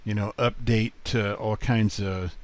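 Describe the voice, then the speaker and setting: Man, lowish voice, very slow pace, casual hobbyist host, rambling and halting screen commentary. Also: fairly even intonation. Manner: rambling, halting, thinking aloud. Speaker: host of a radio hobby video.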